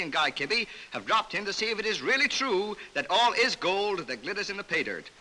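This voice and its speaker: High, sharp-voiced 1930s American newsreel narrator, male. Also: low-quality recording. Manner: jaunty, wisecracking. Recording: bright vintage 1930s newsreel soundtrack.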